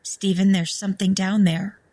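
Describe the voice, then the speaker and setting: A woman's voice: medium pitch, little pitch movement, normal speed, mellow, lightly acted. A woman playing a frightened, insistent wife with an eerie obsession in a horror story.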